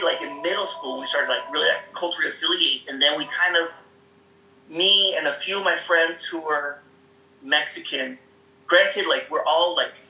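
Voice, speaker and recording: A man with a fairly high, very bright voice tells stories in a loose, rambling way, full of fillers and quick laughs. He sounds like he is in an echoey room. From Seattle area. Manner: rambling, many fillers, laughs often, acts out quoted voices. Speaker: guest. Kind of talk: casual conversational storytelling.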